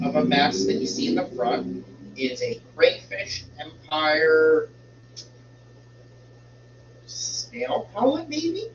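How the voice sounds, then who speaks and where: A man's voice: a little high, fairly bright tone. A man hosting a casual live stream from home, rambling while he fixes settings, sounding congested and a bit stuffy. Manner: slow, rambling, fiddles with settings while talking. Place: home room with a fish tank. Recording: roomy, distant, dull home stream with hum.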